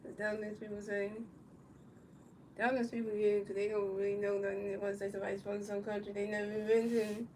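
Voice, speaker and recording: A woman calls a baseball video game in an extremely flat, unchanging tone, repeating set phrases and restarting lines as she goes. She sits some way from the microphone, and a faint hiss runs underneath. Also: mid-range voice, fairly quick. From United States. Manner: repetitive, stumbling calls, talks to chat viewers. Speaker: video game play-by-play commentator. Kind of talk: casual play-by-play commentary of a baseball video game. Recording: distant mic with faint background noise.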